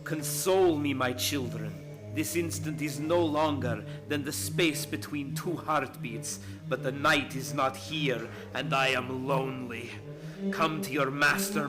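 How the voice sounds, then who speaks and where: A man's voice: mid-range voice, a little slow, music in the background, close to an everyday voice. An actor playing an imperious vampire lord in a radio play, chanting to his minions in a grand, brooding manner. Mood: lonely, summoning.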